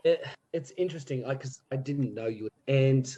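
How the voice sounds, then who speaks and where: A man in his thirties talks easily in a casual podcast chat. His voice sits at an ordinary middle pitch, and he circles back over his words with loose restarts and agreeable asides. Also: moderate pace, dull audio. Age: 30s. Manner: relaxed and chatty, with frequent "yeah" and restarts. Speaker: theatre group member.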